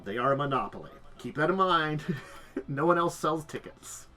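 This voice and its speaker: Man, casual podcast host, bright voice, chatty, laughs a lot. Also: on the high side, lively intonation, moderate pace. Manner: chatty, self-deprecating, laughs often. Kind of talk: casual chat.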